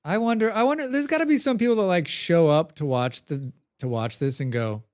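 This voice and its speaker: A man's voice: a little high, a little fast. A man with a head cold chatting casually while live-streaming a game, humming to himself and answering his chat.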